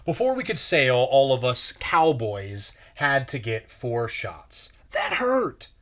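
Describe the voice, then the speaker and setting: A man, a pastor, reading a children's story aloud in a measured, expressive way. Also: medium pitch.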